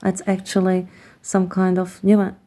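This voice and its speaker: A woman with a Hungarian accent, a native Hungarian speaker, gives a slow, reflective tarot card reading, pausing often and searching for words. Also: mid-range voice, completely flat delivery, mellow. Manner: hesitant, searching for words with many pauses.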